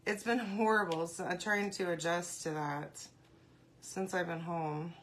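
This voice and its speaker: A woman hosting a casual craft live stream, congested and often clearing her throat, chatting and greeting viewers by name. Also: on the low side, fairly even intonation, a little slow, a bit bright, a little hiss or hum.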